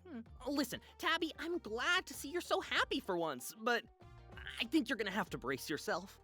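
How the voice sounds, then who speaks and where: A woman's voice: a little high, expressive, moderate pace, pushed and bright, slightly rough, faint music behind, full character voice. A woman voicing a tiny, cautious male magical companion with a hesitant, tactful manner in a visual novel. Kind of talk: visual novel character acting.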